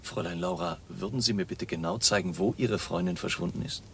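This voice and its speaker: An actor playing a grave, authoritative baron who hunts vampires, speaking formally and in a lecturing way in a radio drama. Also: male voice, deep voice, a little fast, vocal fry, a bit bright, a little hiss or hum. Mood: polite, intent.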